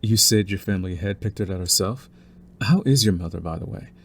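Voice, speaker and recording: A man playing a young mafia heir in an intimate audio roleplay, smooth-spoken and deliberate, with a cunning, condescending streak beneath his courtesy. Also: deep voice. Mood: courteous, probing. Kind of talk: intimate scripted audio roleplay, ASMR acting.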